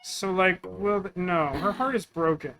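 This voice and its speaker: A man's voice: sits high, unhurried, pushed and bright, soft background music. A man doing casual let's play commentary, musing and thinking aloud as he works through puzzles. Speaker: gaming video host.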